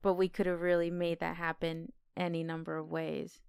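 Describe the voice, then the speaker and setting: A woman's voice: lowish voice, monotone, moderate pace, gravelly, a little mellow, smooth, dry recording, clean studio sound. A woman co-hosting a podcast, discussing film in a casual, conversational way. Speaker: podcast co-host.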